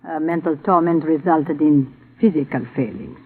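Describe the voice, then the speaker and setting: An actor playing a guarded, cryptic spiritual advisor, formal and measured, in a 1950s radio drama. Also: male voice, fairly high pitch, husky, narrow, tinny audio. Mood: solemn, guarded. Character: guarded, solemn, cryptic fortune-teller-like advisor Madam Star. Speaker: advice-giver in a radio drama. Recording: thin vintage radio recording, faint noise.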